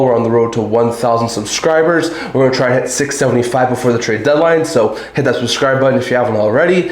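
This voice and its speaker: A man with a slightly raspy, under-the-weather voice, hosting informal sports news commentary. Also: medium pitch, a little mellow. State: a little sick. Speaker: hockey channel host. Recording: roomy, echoing home recording.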